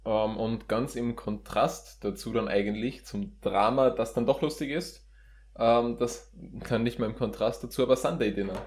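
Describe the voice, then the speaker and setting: A man's voice: mid-range voice, moderate pace, a little mellow, a little hiss or hum. A man chatting casually as a co-host, informal and rephrasing his thoughts as he goes. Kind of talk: casual conversation.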